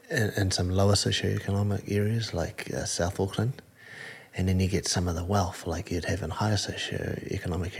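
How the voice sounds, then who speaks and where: A man with a New Zealand accent talks through an interview in an earnest, halting way, stopping and restarting his sentences. His voice sits very low, with a husky grain to it. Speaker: combat sport fighter, guest. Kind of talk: casual interview answers.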